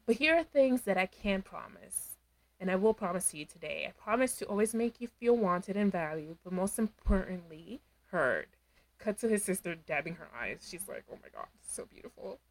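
A woman co-hosting a podcast, recapping a reality show in casual chat, animated, laughing often and acting out quotes. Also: mid-range voice, rough recording. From Dominican heritage. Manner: animated, talks with her hands, quick laughs, acts out quotes. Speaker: podcast co-host.

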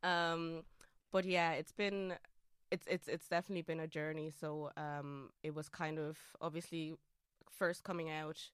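A non-binary speaker with a feminine voice in their late twenties, from Austria with an Austrian German accent and German as a first language, talking through a thoughtful interview with many fillers and restarts. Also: female voice, low voice, level tone, normal speed, clean tone, dry recording, clean studio sound. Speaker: guest. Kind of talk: casual interview chat.

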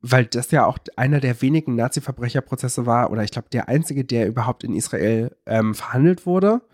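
Male podcast co-host, medium pitch, loose and searching delivery, dry sound. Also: a little fast, darkish tone, no room sound. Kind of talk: casual podcast chat.

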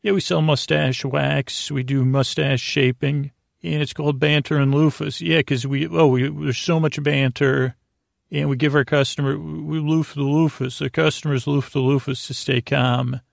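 A man with a creaky voice hosting a bedtime podcast in a lulling, friendly way, meandering and halting through tangents. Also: on the high side, little pitch movement, no echo, studio quality. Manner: meandering, halting, tangent-prone, self-interrupting. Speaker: podcast host. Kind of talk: rambling bedtime monologue to help listeners sleep.

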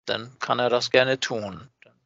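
Male co-host, crisp forceful tone, informal podcast talk, very dry but slightly dull recording. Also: mid-range voice, fairly even intonation, unhurried, no room sound. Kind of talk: casual unscripted podcast chat. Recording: dull, dry, band-limited audio.

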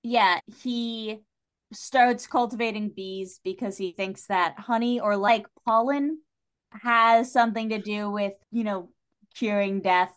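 Casting: female podcast guest, informal and salty-tongued, animated delivery in casual literary chat. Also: mid-range voice, slow pace. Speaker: guest on a book discussion podcast.

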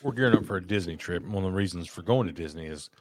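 Podcast co-host, male, low baritone, loose and profane, recorded dry. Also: racing, dry recording. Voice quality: deep baritone voice. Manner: loose, joking, profane banter. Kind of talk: casual chat over beers.

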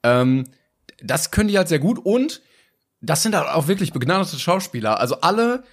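A young German man co-hosting a relaxed podcast conversation. His voice sits a little high for a man, and he speaks colloquially, thinking things through as he goes. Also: normal speed. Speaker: podcast co-host. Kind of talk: casual conversation.

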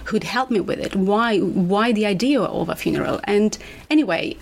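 A woman in her forties from Moldova, whose first language is Romanian, talking about her writing as a guest author in a relaxed interview, reflective and hesitant with many fillers. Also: medium pitch, normal speed, vocal fry. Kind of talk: casual interview.

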